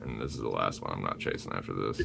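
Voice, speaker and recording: A man with a New England accent giving relaxed, self-mocking play-by-play of a fight during a live watch-along. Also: very deep voice, quick pace, gravelly, heavy background noise. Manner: laid-back play-by-play, self-mocking about bets. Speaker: co-host, live fight commentator.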